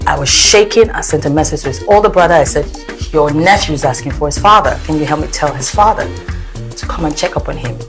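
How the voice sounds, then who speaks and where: A woman's voice: low-pitched, quick pace, music in the background. A Nigerian woman giving an emotional personal account as a mother, mixing pidgin into her English. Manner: earnest, mixes pidgin into English. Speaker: mother telling her story.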